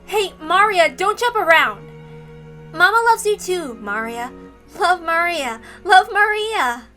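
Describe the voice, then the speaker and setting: Woman playing a doting, high-voiced young mother. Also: lively intonation, takes it slowly, over music, exaggerated voice. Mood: loving. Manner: tender, soothing, affectionate.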